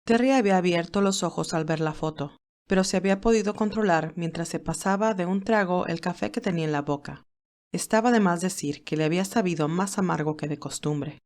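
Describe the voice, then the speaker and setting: A woman's voice: fairly low pitch, fairly quick, a little mellow. A woman narrating a scripted story as an audiobook-style storyteller.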